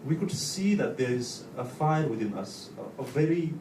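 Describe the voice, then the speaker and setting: A man from Nepal with a Nepali accent, speaking personally to an audience in halting, deliberate phrases. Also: medium pitch, expressive. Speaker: guest speaker, volunteer. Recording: echoey room, distant mic, clear noise.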